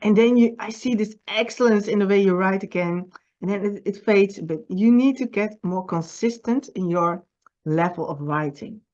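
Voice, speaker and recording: Dutch-accented woman publisher, middle-pitched, earnest and halting, on a slightly muffled recording. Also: a little mellow. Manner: earnest, halting, repeats words and restarts. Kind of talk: personal video message with writing advice.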